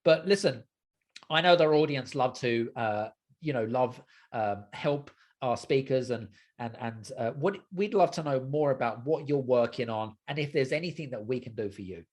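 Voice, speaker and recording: A man's voice: medium pitch, animated, moderate pace. A man hosting a casual podcast interview, chatty and inclined to repeat his affirmations and phrases. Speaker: podcast host.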